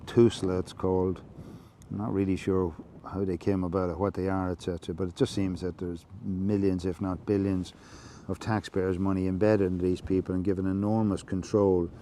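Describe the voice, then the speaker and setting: An Irish man giving a measured, reflective monologue, explaining things slowly, with many fillers and restarts. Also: low voice, level tone, warm tone, slight background noise. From Ireland. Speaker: podcast host.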